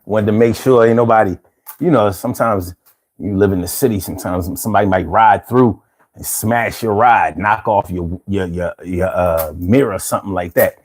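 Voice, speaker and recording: A man's voice: lowish voice, moderate pace. A man hosting a casual live stream, reading out viewer comments with laid-back, chuckling talk, from the United States.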